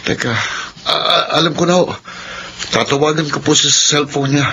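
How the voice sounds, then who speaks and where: Worried helpful young man in pinoy radio drama, highish voice, noisy recording. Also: fairly even intonation, moderate pace. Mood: concerned. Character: loyal, concerned young male friend, eager to help. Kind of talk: Filipino radio drama acting. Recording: dull lo-fi radio with clear noise.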